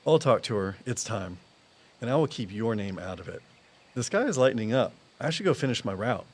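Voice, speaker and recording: Plainspoken, guarded tree expert. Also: male voice, mid-range voice, sing-song, brisk. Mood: resolute, protective. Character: Walt, guarded, loyal tree expert and colleague. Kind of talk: naturalistic audio drama dialogue.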